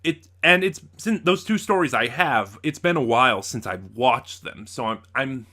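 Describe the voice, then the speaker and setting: A man's voice: mid-range voice, animated, normal speed, bright, forceful tone. A man hosting a casual, unscripted review, talking in a rambling way with many asides and self-corrections. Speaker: host of a review channel.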